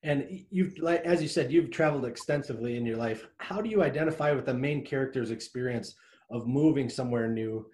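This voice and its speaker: A man's voice: mid-range voice, fairly quick, darkish tone. A man hosting a podcast, reading a scripted introduction and then asking the guest questions in an upbeat, encouraging way. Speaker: podcast host. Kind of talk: interview hosting, read introduction.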